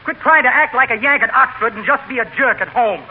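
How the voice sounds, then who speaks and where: Brash high-voiced delivery man. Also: quick pace. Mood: mocking. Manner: brash, slangy, mocking. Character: cheeky wisecracking delivery man. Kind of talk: 1940s radio comedy acting. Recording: very noisy scratchy muffled old radio.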